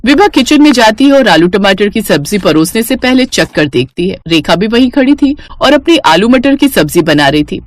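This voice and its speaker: A woman's voice: medium pitch, very animated, moderate pace, hardly any pauses, dry recording. A woman narrating a scripted moral story as the storyteller.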